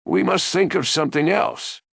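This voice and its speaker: A man voicing a scheming, fatherly king in a storybook, giving firm instructions deliberately. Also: fairly high pitch, vocal fry, a bit bright, no echo, clean studio sound, pitched above the performer's own voice, full character voice. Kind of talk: storybook character voice.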